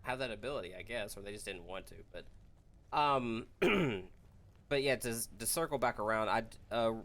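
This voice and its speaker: A man's voice: medium pitch, animated, moderate pace, a bit bright. A man hosting a podcast, steering a casual chat about video games and movies. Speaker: podcast host steering discussion.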